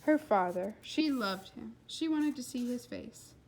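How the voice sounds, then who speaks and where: A woman hosts a read-aloud for young listeners. Her voice sits a bit high and moves with lively, varied intonation, engaged in the telling. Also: unhurried, slight background noise, rough recording. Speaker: read-aloud host and storyteller. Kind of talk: expressive children's book read-aloud.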